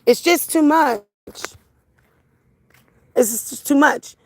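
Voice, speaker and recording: A middle-aged woman on a livestream, her voice hoarse from crying, talking to viewers in a raw, emotional way. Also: high voice, takes it slowly, darkish tone. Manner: raw, emotional, repeats herself. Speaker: livestream host.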